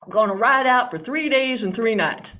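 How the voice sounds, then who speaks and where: A woman's voice: fairly high pitch, expressive, normal speed, fairly bright tone. A woman voicing a young male suitor who talks in a folksy drawl full of exasperated exclamations. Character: exasperated young man, a plain-talking suitor.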